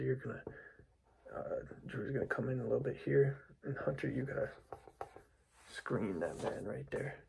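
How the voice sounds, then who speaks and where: A young man doing an ASMR basketball coach roleplay in a hushed, breathy, husky whisper, repeating himself as he addresses his team. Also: mid-range voice, slight background noise, rough recording. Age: young adult. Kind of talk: ASMR roleplay pep talk and play explanation. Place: small dark room indoors.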